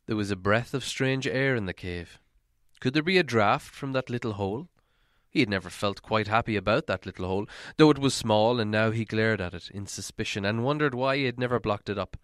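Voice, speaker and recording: A man reading a fantasy story aloud as scripted narration, in a theatrical, deliberate manner. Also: lowish voice, fairly bright tone, no echo, studio quality. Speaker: audiobook reader.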